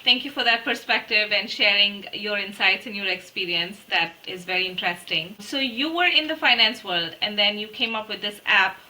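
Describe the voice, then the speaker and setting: A woman's voice: medium pitch, moderate pace, hardly any pauses, bright, forceful tone, rough recording. A woman hosting a podcast, affirming her guest and stringing several questions together. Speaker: podcast host. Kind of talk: interview questions.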